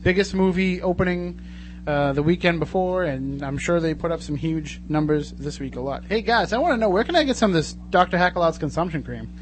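A man's voice: a little high, fairly quick. A man hosting a live talk radio show in a casual, joking, chatty way. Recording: clearly noisy radio studio with hum.

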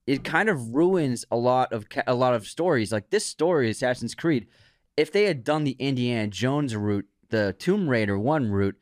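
A man's voice: medium pitch, dry recording, studio quality. A man co-hosting a podcast about movies in a casual chat, explaining game stories with enthusiasm and agreeing often. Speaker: podcast co-host.

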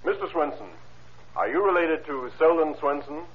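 An actor playing a formal, methodical prosecutor questioning witnesses in an old-time radio courtroom drama. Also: male voice, a little high, animated, normal speed, lightly acted. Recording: tinny, band-limited vintage radio recording.

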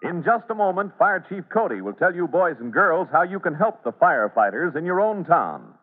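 A man's voice: on the high side, very animated, moderate pace. A man narrating and announcing an old-time radio serial in an emphatic, theatrical scripted style. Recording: reverberant, tinny vintage radio recording.

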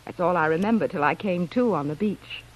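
A woman's voice: lowish voice, brisk, lightly acted, low-quality recording. An actress playing a sweet, youthful castaway widow with a ladylike, wistful manner in a classic radio drama. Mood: wistful, poised.

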